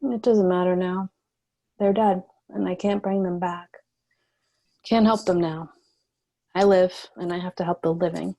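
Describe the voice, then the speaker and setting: Woman acting a low-voiced, guarded resistance leader. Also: steady tone, measured pace, pauses often, mellow. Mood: somber, resolute. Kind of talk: screenplay table read, understated dramatic acting.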